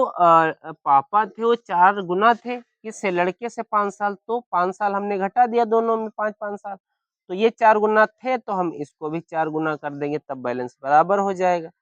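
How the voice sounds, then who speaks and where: A man with a sore, hoarse throat teaching a maths lesson step by step, often asking if everyone is following. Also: high-pitched, measured pace, fairly bright tone, dry recording, dull audio. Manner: keeps asking "clear?" and "any problem?".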